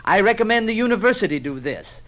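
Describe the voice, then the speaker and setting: An actor playing an earnest official making a formal, declarative recommendation in a 1950s radio drama. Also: male voice, sits high, big pitch swings, fast, a bit bright. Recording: vintage 1950s radio recording.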